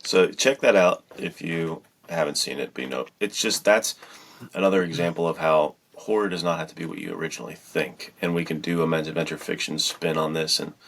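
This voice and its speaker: A man's voice: extremely low pitch, fairly even intonation, brisk, a bit bright. A man co-hosting a podcast in casual chat, rambling along informally and with enthusiasm. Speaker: podcast co-host.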